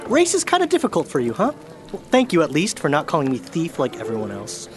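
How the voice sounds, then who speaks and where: A smooth-talking half-elf rogue acted by a man bargains with wry courtesy. His pitch moves in lively swings, with faint music beneath, as in a fantasy audio drama. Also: quick pace. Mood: suave, wry.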